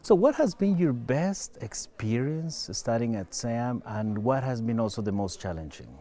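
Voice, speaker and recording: A man hosting an online student interview, friendly and quick to laugh. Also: mid-range voice. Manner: lively, friendly, laughs often. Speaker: host.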